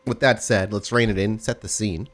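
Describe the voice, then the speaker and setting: A man's voice: on the low side, fairly even intonation, moderate pace. A man who hosts and narrates a tabletop role-play podcast as its storyteller. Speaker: storyteller and host. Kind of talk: tabletop game narration and casual podcast chat.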